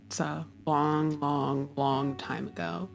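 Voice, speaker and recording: A woman voicing an ancient, wistful being in a roleplay, slowly and haltingly recalling its past in a hushed voice. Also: low voice, fairly even intonation, gravelly, soft background music, pitched below the performer's own voice, heavily acted. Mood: haunted, dazed.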